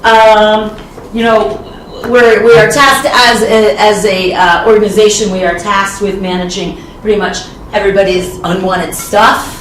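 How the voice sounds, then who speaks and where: A woman with a loud voice, a school outreach coordinator, giving a lively, chatty panel talk without a microphone. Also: medium pitch, measured pace, slight background noise. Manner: lively, chatty, self-deprecating. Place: conference room. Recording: echoey room, distant from mic.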